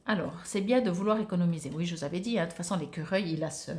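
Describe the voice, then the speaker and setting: A woman's voice: on the low side, racing. A woman giving an informal spiritual card reading to viewers, speaking conversationally and hesitantly as she searches for words. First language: French. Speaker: oracle card reader.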